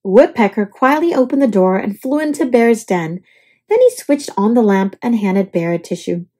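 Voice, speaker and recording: A woman reading a children's picture book aloud in an expressive, scripted storytelling style. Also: fairly high pitch, normal speed, husky. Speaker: storybook reader.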